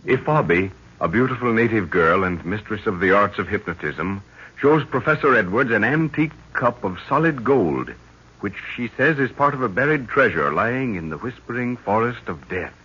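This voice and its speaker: Deep, forceful theatrical male narrator. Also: expressive, normal speed, breathy edge. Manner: grandiose, theatrical. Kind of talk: dramatic 1930s radio narration. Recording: muffled, hissy old 1930s radio recording.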